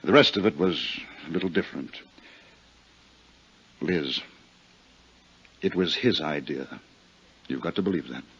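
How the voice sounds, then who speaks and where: An American actor playing a bitter, lovesick middle-aged murderer, speaking earnestly and haltingly in a 1950s radio drama. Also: male voice, extremely low pitch, expressive, vocal fry, fairly bright tone, husky, heavily acted. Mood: bitter confession. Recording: muffled 1950s radio recording.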